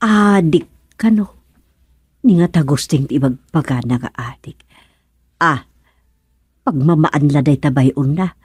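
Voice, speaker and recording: Exasperated, slow-talking family caretaker. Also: female voice, low-pitched, darkish tone, slightly rough, no echo, studio quality, heavily acted. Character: exasperated, questioning younger female relative of an old parent. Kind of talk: comedic Ilocano radio drama acting.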